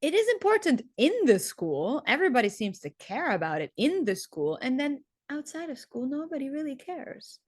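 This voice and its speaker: A woman's voice: high-pitched, big pitch swings, normal speed, few pauses, dry recording, studio quality. A young adult woman, a teacher, talking casually and reflectively, full of "like" and "um". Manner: chatty, loose, with frequent "like" and "um".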